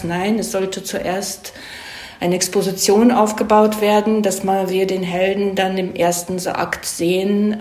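A woman being interviewed as a screenwriter, explaining conversationally, haltingly and with digressions, often tagging questions onto her phrases. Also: lowish voice, a little mellow. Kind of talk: conversational explanation of storytelling craft. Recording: echoey room.

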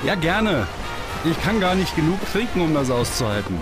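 A man's voice: a little high, big pitch swings, moderate pace, over music. A man playing an awkward, nervous young stage mentalist in a science-fiction radio play, stammering and hesitant. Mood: earnest. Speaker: voice actor in an audio drama.

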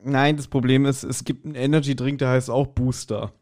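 A man's voice: medium pitch, level tone, brisk, darkish tone. A man hosting a podcast in casual, jokey chat, with a rambling, self-deprecating manner. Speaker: podcast host.

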